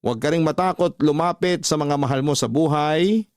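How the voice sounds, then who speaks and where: Higher-pitched Filipino man, dry studio narration. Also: moderate pace, a little mellow, no room sound. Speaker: radio drama host and storyteller. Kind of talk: scripted narration of listener letters.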